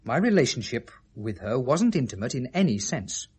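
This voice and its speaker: Prickly, articulate Englishman, lively and husky. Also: mid-range voice, moderate pace. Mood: defensive, reflective. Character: defensive, articulate man questioned about dead young woman. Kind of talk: 1970s British radio drama acting.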